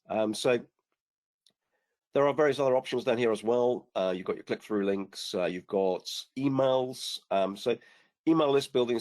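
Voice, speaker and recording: A man with a lowish, slightly hoarse voice talks through a software demo over a video call. He explains patiently, filling gaps with "uh" and "um" and restarting his sentences. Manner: relaxed explaining, many fillers and restarts. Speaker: product demonstrator on a webinar. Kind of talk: screen-share software demo.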